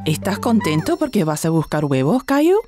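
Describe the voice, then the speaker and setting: A woman's voice: deep voice, very animated, normal speed, music in the background. A woman playing an encouraging, patient mother talking to small children in a cartoon.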